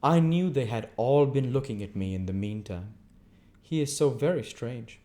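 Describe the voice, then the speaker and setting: Storybook matron, older, self-righteous and plaintive, male reader, raised pitch, abrupt emphatic delivery. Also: lively intonation, moderate pace, warm tone, natural voice. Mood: affected pity. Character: self-pitying, indignant older society woman, abrupt.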